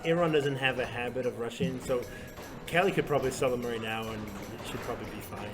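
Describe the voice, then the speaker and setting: A young man casually commentating a strategy game tournament, talking fast and loose with lots of fillers and laughs. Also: medium pitch. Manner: talkative, filler-heavy, laughs often. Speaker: tournament caster. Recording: music playing loudly behind.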